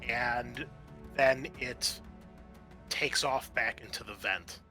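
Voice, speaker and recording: A man's voice: mid-range voice, slow, bright, forceful tone, soft background music. A man narrating a tabletop horror game as game master, moving between vivid storytelling and casual rules talk.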